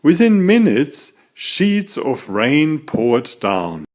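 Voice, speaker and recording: A man reading a children's story aloud as its author, in an expressive, deliberate storytelling manner. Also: on the high side.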